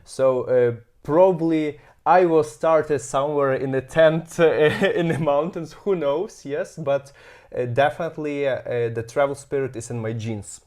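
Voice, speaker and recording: A man in his thirties from Ukraine with a Ukrainian accent, speaking as an interview guest and often pausing with fillers while he searches for words. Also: on the high side, darkish tone. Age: 30s. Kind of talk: casual interview.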